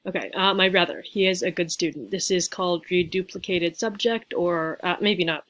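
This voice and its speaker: A young woman giving a relaxed grammar lesson over slides, talking into a webcam at home. Her voice sits a little low, and she chats loosely with plenty of fillers and playful asides. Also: normal speed. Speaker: teacher. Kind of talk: casual slide lecture.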